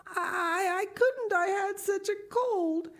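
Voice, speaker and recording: A woman voicing a dramatic, tearful orphan girl who pleads in a high voice. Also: moderate pace, darkish tone, close to an everyday voice. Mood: sobbing.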